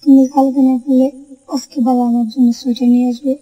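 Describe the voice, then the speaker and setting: A woman's voice: sits high, little pitch movement, fast talker, dark tone, husky. A woman voicing a sulky, pleading little boy in a cartoon, whiny and insistent. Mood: eager. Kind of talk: cartoon voice acting. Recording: muffled, music bed behind. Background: background music.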